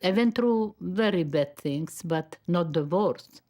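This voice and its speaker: An elderly woman in her nineties from Slovakia, with a Slovak accent, giving personal testimony in measured, halting phrases. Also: lowish voice, animated, a little mellow. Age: 90s. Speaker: Holocaust survivor and memoirist. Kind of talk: personal testimony, partly read.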